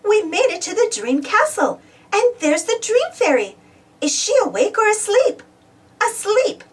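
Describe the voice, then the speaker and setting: A woman reads a storybook aloud for small children in a high voice. Her intonation swings widely, with exclamations and questions aimed at the young listener. She sounds somewhat distant from the microphone. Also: big pitch swings, unhurried. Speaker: storybook reader. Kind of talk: animated children's read-aloud narration.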